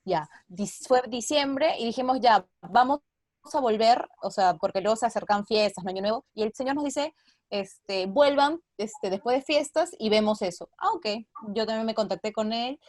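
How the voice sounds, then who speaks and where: A Peruvian woman with a fairly high voice talks as an interview guest. She is casual and chatty, slipping into quoted dialogue as she recounts things. The sound is close and dry, without room echo. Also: animated, moderate pace. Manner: informal, acts out quoted dialogue. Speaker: interview guest, radio drama team member.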